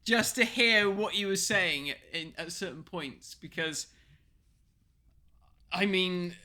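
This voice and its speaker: A man's voice: sits high, slow pace, bright, forceful tone. A man co-hosting a podcast commentary, chatting casually in colloquial, sweary language. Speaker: podcast co-host.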